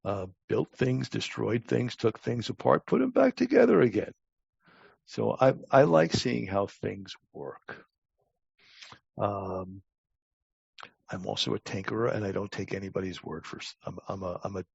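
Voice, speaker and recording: Older man with heavy gravelly creak. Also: lowish voice, expressive, a little mellow, no room sound. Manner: emphatic, halting with repeated words, blunt. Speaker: podcast guest, talent acquisition advisor. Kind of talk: conversational interview answers. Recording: dull, muffled lo-fi audio.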